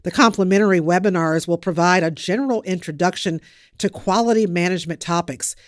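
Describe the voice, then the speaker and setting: Podcast news presenter, medium-pitched, scripted delivery, very dry close recording. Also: brisk, no echo.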